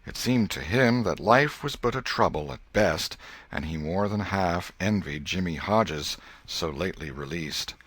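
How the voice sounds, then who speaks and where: A man reading a literary story as an audiobook narrator, in a measured storyteller manner. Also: low-pitched, expressive, fairly bright tone, slightly rough, no room sound.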